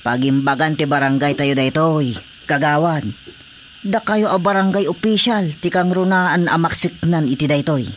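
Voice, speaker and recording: A man's voice: a little high, normal speed, slight background noise, natural voice. A man acting a deferential, nosy village watchman in an Ilocano radio comedy drama, with an Ilocano Filipino accent. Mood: probing, surprised.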